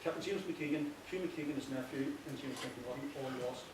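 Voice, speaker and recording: Fast-talking Northern Irish man, very echoey. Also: medium pitch. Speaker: playwright giving a thank-you speech. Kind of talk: informal public speech. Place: echoey indoor hall before an audience. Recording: very echoey, distant, noisy with clicks.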